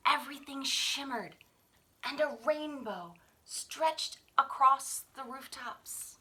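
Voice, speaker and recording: High, bright woman reading stories aloud. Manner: theatrical, drawn-out refrains. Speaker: children's story reader at a library. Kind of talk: expressive picture-book read-aloud.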